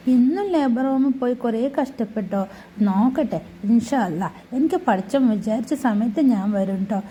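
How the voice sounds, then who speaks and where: A woman's voice: high voice, warm tone, breathy edge. A woman voicing a chatty, cheeky newborn baby in a family video voice-over, talking in a sing-song, cooing way with teasing asides.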